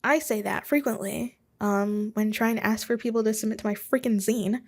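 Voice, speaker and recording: A woman's voice: mid-range voice, normal speed, darkish tone. A woman in her twenties hosting a casual solo podcast from her home office, rambling and self-deprecating with chuckles and asides. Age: 20s. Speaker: podcast host.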